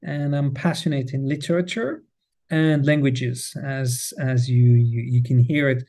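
A middle-aged Swiss man whose first language is French talks English in an interview, his voice mellow and relaxed at an ordinary pitch. He often repeats a word while he searches for the next one. His French accent colours every line. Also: a little slow. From Switzerland. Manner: restarts and repeats words, passionate emphasis. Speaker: guest, cheese marketing head. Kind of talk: podcast interview. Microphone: remote, on the road.

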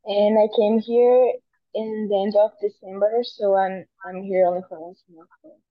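A woman's voice: medium pitch, little pitch movement, normal speed, no room sound. Young Brazilian woman, slightly muffled recording. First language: Portuguese. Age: teens to young adult. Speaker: exchange student guest. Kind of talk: casual interview answers.

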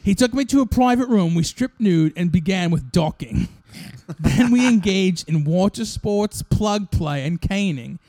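Male co-host, high voice, dry close mic, breezy mock-earnest improv narration. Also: animated, measured pace, warm tone, dry recording, soft background music. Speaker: podcast co-host. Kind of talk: improvised comic storytelling.

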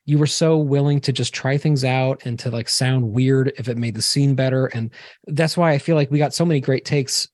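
A man's voice: medium pitch, steady tone, moderate pace, darkish tone, no room sound, studio quality. A man hosting a casual roundtable chat, effusive and informal, telling things as anecdotes. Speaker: podcast host and short film co-director.

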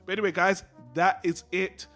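A man's voice: high-pitched, level tone, normal speed, sharp tone, soft background music. A man hosting a casual film discussion podcast, talking in a rambling, digressive and opinionated way. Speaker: podcast host.

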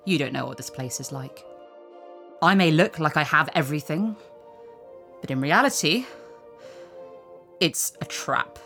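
A woman's voice: low voice, lively intonation, measured pace, vocal fry, pauses often, sharp tone, soft background music, full character voice. A woman voicing a jaded celebrity TV presenter in an audio drama, dry, offhand and cynical. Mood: wistful.

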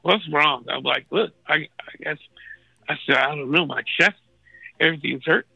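A man with a raspy voice, thirsty and unfed in a hospital room, telling a story in a laid-back, slangy way. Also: fairly high pitch, moderate pace, bright, forceful tone, no echo, dull audio. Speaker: guest calling in from a hospital bed. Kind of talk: casual storytelling chat.

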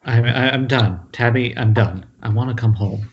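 A man's voice: mid-range voice, moderate pace, close to an everyday voice. Troubled washed-up writer, read by a man, muffled line. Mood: pleading, earnest. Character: troubled male writer, washed-up drinker pleading with his wife. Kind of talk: amateur screenplay table read. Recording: dull lo-fi Skype call.

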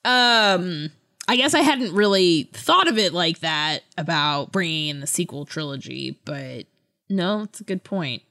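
A woman co-hosting a podcast, chatting casually about books in a thoughtful, hesitant way with trailing pauses. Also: fairly low pitch, lively intonation, sharp tone. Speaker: podcast co-host. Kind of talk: casual book discussion chat.

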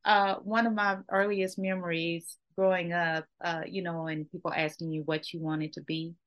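A woman from Texas hosts a casual podcast interview in a notably low voice. She talks with enthusiasm and chatty warmth of manner, leaning on fillers and restarting her sentences as she goes. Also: little pitch movement, moderate pace, dull audio. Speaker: podcast host.

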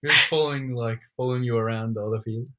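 A man's voice: mid-range voice, steady tone, racing, bright, forceful tone, lo-fi sound, a little hiss or hum. A young man in his twenties co-hosting a casual podcast chat, rambling and self-deprecating, often trailing off mid-thought. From Nepal. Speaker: podcast co-host.

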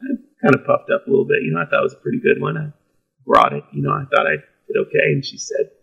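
Casting: male pastor, gravelly raspy voice, candid and self-deprecating. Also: fairly high pitch, fairly even intonation, quick pace, a bit bright, highs cut off. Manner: self-deprecating, laughs easily. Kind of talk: candid conversational storytelling.

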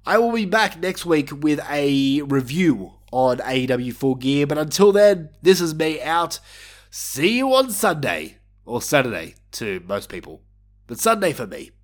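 A man's voice: a little high, big pitch swings, measured pace. A man hosting his own show in a casual, rambling chat, with a nasal, blocked-nose voice from allergies. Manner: rambling, with fillers and restarts. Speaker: podcast host.

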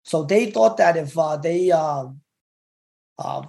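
Gravelly-voiced man telling his life story. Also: a little high, expressive, warm tone. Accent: Cantonese-tinged New York English. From Brooklyn, New York. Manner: halting, many fillers and restarts. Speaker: interview guest, former gang member. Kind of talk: candid interview storytelling.

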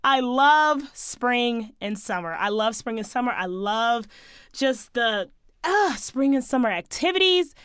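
Female podcast host, a touch hoarse, sassy and chatty, higher voice, dry close sound, faint music underneath. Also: expressive, measured pace, a bit bright, dry recording. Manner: sassy, chatty, playful asides to listeners. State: slightly hoarse, losing her voice. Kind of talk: casual solo podcast chat.

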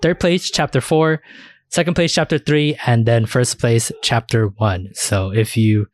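A man's voice: mid-range voice, unhurried, no echo. A man hosting a podcast in casual chat about anime and games, swearing freely as he talks. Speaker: podcast host.

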